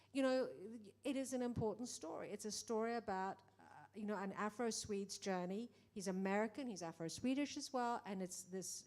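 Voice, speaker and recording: A middle-aged woman producer speaking in a conversation, animated, acting out quoted exchanges, with many restarts. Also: medium pitch. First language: English. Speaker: film producer. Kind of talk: panel conversation.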